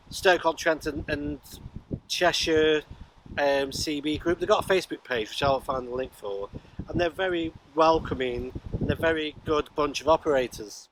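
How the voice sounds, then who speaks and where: Higher-voiced middle-aged man, noisy recording. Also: fairly bright tone. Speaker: amateur radio vlogger. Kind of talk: casual informal vlog talk. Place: windy high ground, in and around a parked car. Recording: windy outdoor noise, clicks.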